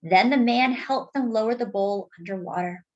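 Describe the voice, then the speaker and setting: A woman reading a picture book aloud to children, expressive and deliberate, dropping in chatty asides. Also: on the high side, muffled recording. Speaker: children's story reader.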